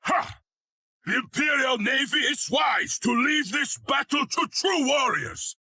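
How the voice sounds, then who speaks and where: An actor playing a boisterous, boastful space warrior in a game cutscene, loud, mocking and declamatory. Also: male voice, very high voice, lively intonation, takes it slowly, no echo, studio quality, exaggerated voice. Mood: scornful boasting. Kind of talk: dramatic game cutscene acting.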